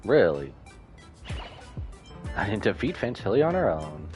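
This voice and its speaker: A man's voice: on the low side, sing-song, a little slow. A man giving casual live commentary as he streams a video game. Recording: game music behind the voice.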